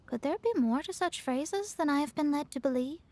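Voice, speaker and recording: High-voiced proud young astrologer. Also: female voice, expressive, brisk, dark tone. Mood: serious, reflective. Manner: self-assured, articulate, slightly haughty. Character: proud, theatrical young female astrologer, quick to boast. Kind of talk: video game voice acting. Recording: music playing behind.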